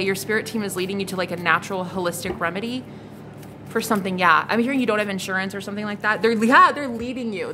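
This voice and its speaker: A woman in her thirties doing a casual tarot reading to camera, blunt and exasperated, swearing freely and repeating herself. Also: medium pitch. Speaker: psychic tarot reader. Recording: noticeable background noise.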